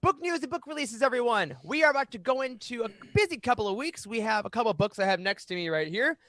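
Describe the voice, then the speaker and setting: A man in his thirties with a notably high voice presents a fan podcast. He speaks emphatically and with animation. The sound is dry and close on a studio mic. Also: sing-song, fast talker, a bit bright, dry recording. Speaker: podcast host. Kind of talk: reading aloud and hosting a fan podcast. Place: small home room, indoors. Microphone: close studio microphone on boom arm.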